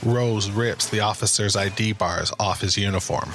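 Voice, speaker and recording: A man's voice: on the low side, steady tone, normal speed. A man delivering dramatic, scripted present-tense narration for an audio drama. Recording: narration under loud music.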